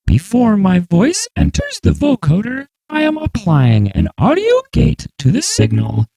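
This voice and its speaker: Vocoder-processed narrator, gravelly and buzzing, slow over-enunciated scripted explanation of electronic composition. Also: wildly swooping pitch, warm tone. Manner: slow, exaggerated, very proper enunciation. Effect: vocoder, randomly shifting synthesizer pitch and chords. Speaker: composer narrating own piece.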